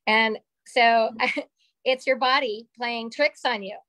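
A woman in her forties with a slightly raspy voice, sharing a candid personal story on a video call from a small room at home. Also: a little high, steady tone, slow, bright, forceful tone, dry recording. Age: 40s. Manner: candid, chatty, gestures with her hands. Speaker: call participant. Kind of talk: casual personal testimonial. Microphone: webcam on a video call.

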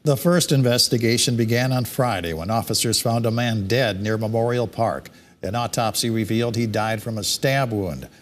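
A man reading local news stories as a television studio anchor, in a steady, measured delivery. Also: on the low side.

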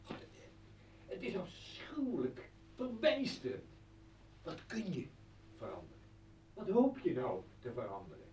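An actor playing an appalled, indignant husband in a radio play, incredulous and agitated. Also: male voice, on the high side, huge pitch swings, unhurried, pauses often, a little mellow, distant mic, slight background noise. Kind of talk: Dutch radio play acting. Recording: echoey, dull, humming old radio recording.

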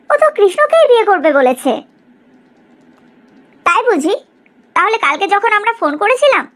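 A woman voicing a proud, sharp-tongued middle-aged village mother in a cartoon story, in an exaggerated, gossipy way. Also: very high voice, heavily acted. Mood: boastful, reproachful. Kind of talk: Bengali cartoon story dialogue.

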